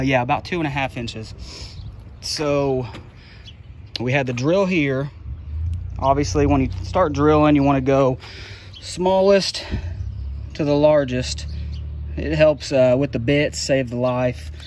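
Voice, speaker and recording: A man's voice: a little high, a little mellow, a little hiss or hum. A middle-aged man giving a casual, plain-spoken how-to walkthrough outdoors on a handheld phone. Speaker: YouTube channel host, trucking business owner. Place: outdoors beside a trailer. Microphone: handheld phone at arm's length.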